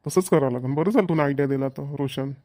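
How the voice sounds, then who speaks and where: A man's voice: medium pitch, quick pace, darkish tone, no echo, studio quality, natural voice. A man voicing a practical, bossy but agreeable middle-aged village father in a cartoon comedy, chatty and emphatic, with a Varhadi Marathi accent.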